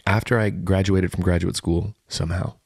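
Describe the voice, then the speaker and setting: A man's voice: low-pitched, steady tone, moderate pace, gravelly, slightly rough, dry recording, studio quality. A man co-hosting a podcast in casual comedic chat, joking with mock-serious exaggeration. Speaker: podcast co-host.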